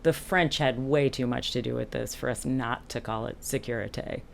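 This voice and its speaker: A woman with a strikingly low voice talks to her viewers in a casual, explanatory vlog style. She is candid and self-deprecating, dropping animated asides as she goes. There is some ambient noise behind her. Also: moderate pace, vocal fry. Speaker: boat captain and video host.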